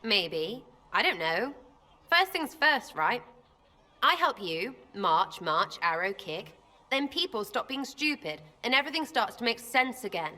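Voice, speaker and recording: A woman's voice: on the high side, very animated, measured pace, bright, forceful tone, exaggerated voice. An actress playing a cheeky, blunt young female rogue archer in a video game, talking in choppy, slangy, irreverent bursts. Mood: flippant, blunt. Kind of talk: video game dialogue acting.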